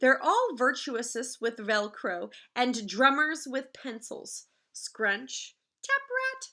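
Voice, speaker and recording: A woman reading a picture book aloud in the manner of a teacher, expressive and explaining things simply to children. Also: high voice, slow. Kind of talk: animated children's read-aloud with asides to the listeners.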